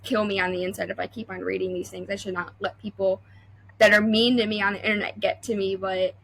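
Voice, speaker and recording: A woman's voice: medium pitch, level tone, fast, gravelly, fairly bright tone, poor audio. A young adult woman welder talking as a podcast guest, chatty and full of fillers and restarts. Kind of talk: casual interview chat.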